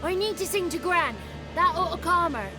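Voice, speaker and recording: A woman's voice: very high-pitched, normal speed, music in the background. A woman voicing a cheeky, childlike male swamp creature in a video game, talkative and theatrical, with boastful, florid wordplay. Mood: chatty. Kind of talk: video game character acting.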